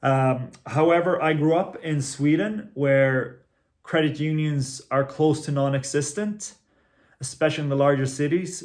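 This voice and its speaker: A young man in his twenties from Finland talks through a prepared introduction of himself. His voice sits at an ordinary middle pitch, and he speaks in an earnest, measured way, correcting a word now and then. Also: darkish tone. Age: 20s. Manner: earnest, measured, with small restarts. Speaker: credit union marketing executive. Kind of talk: prepared self-introduction talk.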